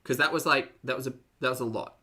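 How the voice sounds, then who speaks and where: A man's voice: medium pitch, fairly even intonation, quick pace, pushed and bright. A man hosting a reaction stream, chatting casually to his live audience with dry, teasing sarcasm. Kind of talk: casual live commentary to a chat.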